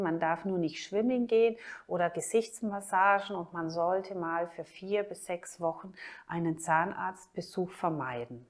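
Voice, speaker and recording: A woman plastic surgeon with a German accent, calmly explaining a cosmetic procedure to the camera. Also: on the low side. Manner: calm, measured and informative, points while explaining.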